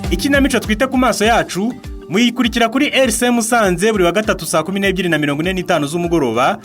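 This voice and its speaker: A man's voice: sits high, very fast. A Rwandan man announcing a radio drama in scripted, promotional narration. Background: music.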